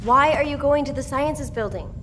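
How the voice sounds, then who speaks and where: A woman's voice: sits high, moderate pace, a bit bright, slight background noise. An actress playing a determined, self-assured female survivor who speaks insistently in an audio drama. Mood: curious.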